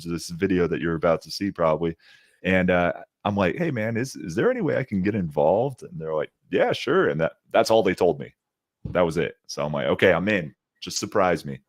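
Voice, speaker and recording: A young man with a deep, gravelly voice telling upbeat stories as a guest on a video call, laughing often. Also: no echo, studio quality. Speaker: guest, ring announcer. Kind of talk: casual interview chat.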